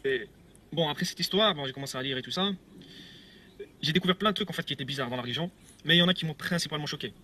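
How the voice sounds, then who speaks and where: A man's voice: on the high side, very fast, long pauses, bright, forceful tone, a little hiss or hum. A man telling his own story on video, rambling and hesitant, with repeats and nervous laughs. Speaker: ex-believer giving a testimony video. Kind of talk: casual video testimony.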